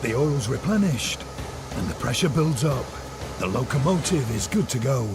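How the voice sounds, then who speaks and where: A man's voice: medium pitch, expressive, moderate pace, background noise. A man reading scripted, dramatic documentary narration. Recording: loud music under the voice.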